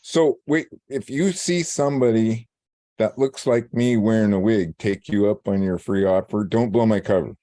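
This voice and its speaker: A man co-hosting a radio talk show, chatting casually and joking with a low voice. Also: normal speed, mellow, no echo, studio quality. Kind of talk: casual chat.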